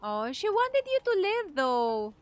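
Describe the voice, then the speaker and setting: Brief: Filipino woman, high voice, reactive livestream commentary over game music. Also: very animated, slow. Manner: reactive, exclaiming at story moments. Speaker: game streamer. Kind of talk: casual live gameplay commentary, mixing English and Tagalog.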